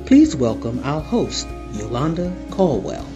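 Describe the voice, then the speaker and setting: A smooth announcer reads a scripted podcast intro, and the pitch moves a lot. Music plays clearly underneath. The sound is dull and lo-fi. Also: huge pitch swings, a little slow.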